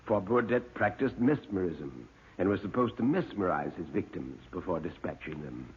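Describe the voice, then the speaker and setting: A man's voice: sits low, animated, husky, exaggerated voice. A man voicing a chatty museum manager with macabre relish and hesitant ums, in classic radio horror style. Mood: amused, ominous. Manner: hesitant ums, drawn-out relish, chuckling asides. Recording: muffled narrow-band old radio recording.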